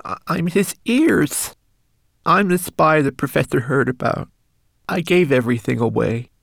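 A man's voice: big pitch swings, a little slow, vocal fry, no echo, clean studio sound, full character voice. A man voicing a tiny, tearful male homunculus servant who stammers through a remorseful confession. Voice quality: high, trembling, stammering.

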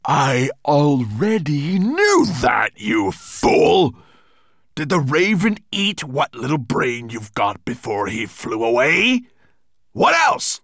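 A man's voice: a little high, wildly swooping pitch, slow, a bit bright, no room sound, pitched above the performer's own voice, heavily acted. A man voicing a huge, furious dragon master in a storybook, roaring, snarling and growling with menace and impatience. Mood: contemptuous snarling.

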